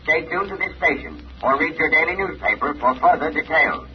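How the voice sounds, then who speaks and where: An actor playing a serious, formal radio news bulletin announcer in an old-time radio drama. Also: male voice, a little high, lively intonation, a little fast, close to an everyday voice. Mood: grave, urgent. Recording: dull, radio-filtered vintage sound.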